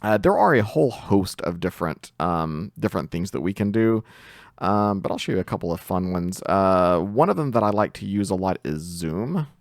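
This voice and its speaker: A man with a very low voice walks through a software tutorial step by step. His delivery is informal and lively in pitch, as a host talking over his screen. The sound is dry and close to the mic. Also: moderate pace, no room sound. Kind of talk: casual screen-share tutorial narration.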